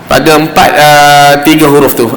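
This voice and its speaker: Quran teacher, male, Malaysian Malay accent, slightly high voice, explanatory classroom delivery in an echoing hall. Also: little pitch movement, fairly bright tone. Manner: explanatory, repeats sounds slowly to demonstrate. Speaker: religious teacher giving Quran recitation class. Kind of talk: informal instruction with demonstrated Arabic recitation. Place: mosque hall. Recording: roomy echoing hall, faint background noise.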